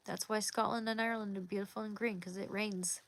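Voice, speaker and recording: A woman's voice: medium pitch, brisk, gravelly, no room sound. Chatty woman from Oregon livestreaming. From Oregon, USA. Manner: relaxed, chatty, answers viewers by name. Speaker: livestream host. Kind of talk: casual live chat reading comments.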